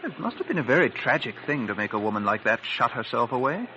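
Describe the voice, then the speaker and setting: An actor playing a polite, curious curate with a deferential, tentative manner in a 1940s radio drama. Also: male voice, mid-range voice, sing-song, fast, lo-fi sound, lightly acted. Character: polite, curious young curate, newly arrived. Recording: old 1940s radio recording.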